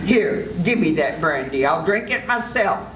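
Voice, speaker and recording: An older woman playing a commanding male doctor in a radio play reading, with a measured, stern delivery. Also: fairly low pitch, expressive. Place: senior center event room, live reading. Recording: echoey room with audible noise.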